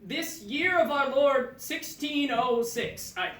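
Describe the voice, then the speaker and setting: A high, halting voice plays a terrified condemned man insisting he is calm. He stammers through mock-archaic bluster in slow, broken phrases. The sound is roomy and distant, with some noise. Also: heavily acted. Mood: quavering false calm. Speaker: stage actor. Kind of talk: theatrical comic monologue. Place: indoor theatre stage, recorded from afar.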